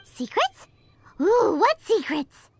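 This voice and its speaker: A woman voicing a tiny, perky childlike female sprite in a video game, squeaky, chirpy and exclamatory. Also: very animated, slow, darkish tone, faint music behind, full character voice. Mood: curious.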